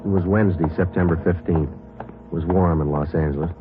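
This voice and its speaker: An American actor playing a terse, level-headed police detective in an old radio drama, with clipped, deadpan, matter-of-fact delivery. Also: male voice, sits low, brisk, music in the background, lightly acted. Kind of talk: 1940s-50s radio drama acting, first-person narration and dialogue. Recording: noisy muffled old radio recording with clicks.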